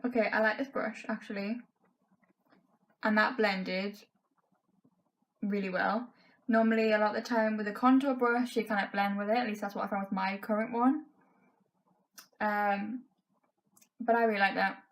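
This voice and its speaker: A woman's voice: mid-range voice, fairly even intonation, moderate pace, long pauses, low-quality recording. Young woman losing her voice. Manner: chatty, frank, many fillers like "um" and "like". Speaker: beauty vlogger. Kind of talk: casual makeup tutorial chat. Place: bedroom, indoors.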